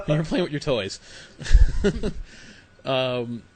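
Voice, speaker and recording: A man's voice: mid-range voice, expressive, extremely slow, slightly rough. A man hosting a podcast interview in an easygoing way, laughing often. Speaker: podcast host.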